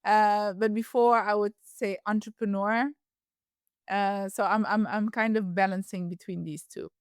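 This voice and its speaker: Reflective woman guest, smooth clear voice. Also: mid-range voice, steady tone, a little slow, dry recording, studio quality. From Ethiopia, raised in the Netherlands. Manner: reflective, with frequent fillers and restarts. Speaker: podcast guest. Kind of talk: casual interview conversation.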